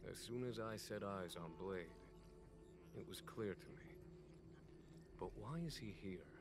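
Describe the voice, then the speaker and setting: A man playing a shrewd, unhurried general in a video game, measured and musing, with quiet authority. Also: on the low side, natural voice. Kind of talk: scripted video game voice acting. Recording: background music under the voice.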